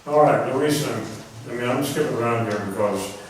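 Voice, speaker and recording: A soft-spoken man giving a rambling religious talk to an audience, often clearing his throat. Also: medium pitch, normal speed, a little hiss or hum. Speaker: religious speaker. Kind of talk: informal lecture to an audience. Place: meeting hall before a live audience. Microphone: microphone through a speaker system. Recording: echoey hall, heard from far off.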